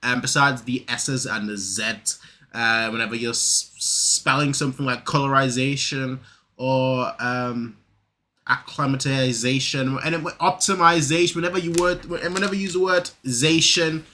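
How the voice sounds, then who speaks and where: A young man in his late twenties with a northern English accent, a mix of Manchester and Lincoln with a faint German trace, talks loosely and casually. His voice is bright and forward, and he meanders with fillers and restarts. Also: medium pitch, little pitch movement, moderate pace. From south Germany. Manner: rambling, many fillers and restarts. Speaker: reaction video host. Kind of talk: casual reaction commentary.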